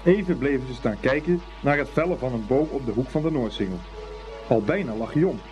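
A man narrating a scripted story for a Dutch radio play in an expressive, unhurried storytelling manner. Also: medium pitch. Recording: dull old radio recording, faint music.

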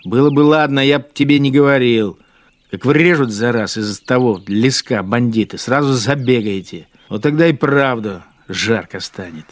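An actor playing a bitter, spiteful wartime machine-gunner in a radio drama, grumbling with sardonic scorn. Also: male voice, medium pitch, normal speed, dry recording, lightly acted. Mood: angry scolding. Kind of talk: radio drama interior monologue.

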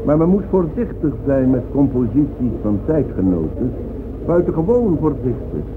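An actor playing a conceited, dismissive conductor in a radio drama, speaking in a pompous, deliberate manner. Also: male voice, mid-range voice, very animated, lightly acted. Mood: haughty. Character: conceited, dismissive male conductor and music critic. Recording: noisy, phone-like old radio recording.

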